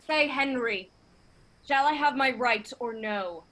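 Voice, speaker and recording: A woman acts a proud young claimant to the crown in a Shakespearean play. The voice is fairly high, with a bright, forceful edge. The verse is declaimed slowly, haughty and defiant. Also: low-quality recording. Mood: haughty challenge. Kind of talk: Shakespearean staged reading. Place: remote reading over a video call.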